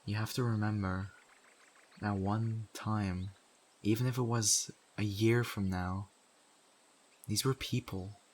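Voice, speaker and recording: Casting: male actor as exasperated, principled apocalypse survivor, lowish voice, earnest scolding delivery with weighted pauses, for audio roleplay. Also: fairly even intonation, a little hiss or hum. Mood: reproachful. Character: stern survivor community member in zombie apocalypse, exasperated, principled. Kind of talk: audio roleplay acting addressed to the listener.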